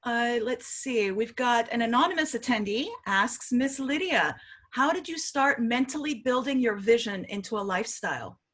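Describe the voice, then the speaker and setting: A woman moderating a webinar over a video call, reading out audience questions in a brisk, matter-of-fact way. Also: on the high side, expressive, a bit bright.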